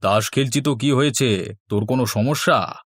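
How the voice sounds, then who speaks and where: A man's voice: mid-range voice, normal speed, no echo, clean studio sound, natural voice. A man voicing a lazy, bossy, resentful elder brother in a cartoon fairy tale, with a curt, commanding manner. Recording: music bed under the voice.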